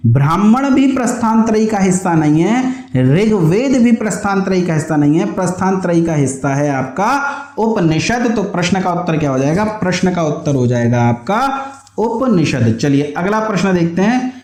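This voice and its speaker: A man with a high-pitched voice teaches an exam-preparation lesson in an echoey room. He explains point by point, posing questions and answering them himself. Key terms get repeated so that students can memorise them. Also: lively intonation, normal speed, a little mellow. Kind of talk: online history lesson with question and answer drilling.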